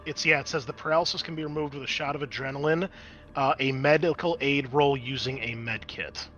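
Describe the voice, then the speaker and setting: A man with a bright, forceful voice runs a tabletop role-playing game. He moves between improvised scene narration and explaining rules. Music plays faintly behind him. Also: mid-range voice, fairly even intonation, brisk, highs cut off. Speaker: game master.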